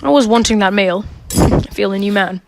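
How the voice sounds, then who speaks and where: A woman's voice: medium pitch, normal speed, creaky, noisy background, natural voice. A young woman playing a blunt, matter-of-fact male detective in an amateur radio murder mystery. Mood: dry, easygoing. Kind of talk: amateur radio play acting.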